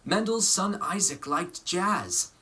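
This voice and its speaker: A man reading a children's story aloud in a measured, expressive way, pausing for emphasis. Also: high voice. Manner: measured, dramatic phrasing with pauses for emphasis. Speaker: storybook reader.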